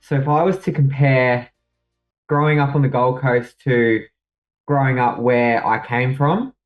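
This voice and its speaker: A man's voice: medium pitch, fairly even intonation, a little slow, far from the mic, muffled recording, soft background music. Young australian man, reflective, hesitant, fillers, a bit off-mic. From New South Wales, Australia. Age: young adult. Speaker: guest. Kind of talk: personal story in conversation.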